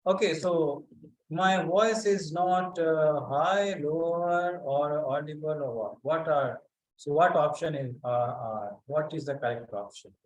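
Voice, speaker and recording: A man leads an online physics lesson. His voice sits somewhat high, and he talks slowly and haltingly with many pauses. He often stops to check in with students by name. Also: low-quality recording. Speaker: physics teacher. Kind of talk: online class lecture.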